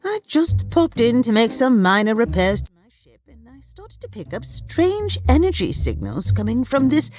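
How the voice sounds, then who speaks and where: A woman's voice: a little high, wildly swooping pitch, moderate pace, a little mellow, soft background music. A woman playing a cheery, eccentric alien time traveller in an audio drama, chatty and quaintly polite. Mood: breezy, playful. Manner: chatty, breezy, quaintly polite British phrasing.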